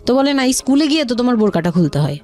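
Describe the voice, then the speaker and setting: A woman's voice: a little high, sing-song, racing, dark tone, faint music behind. A woman with a Bangladeshi accent telling her personal story as an interview guest, colloquially, often repeating and rephrasing herself.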